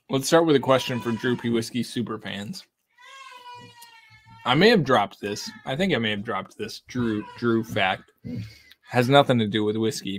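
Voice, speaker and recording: A man in his thirties from Texas, casually chatting on a livestream from a home bar and reading out viewer comments. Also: medium pitch. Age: 30s. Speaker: whiskey channel host. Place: home bar with lit shelves of bottles. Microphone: desk condenser mic.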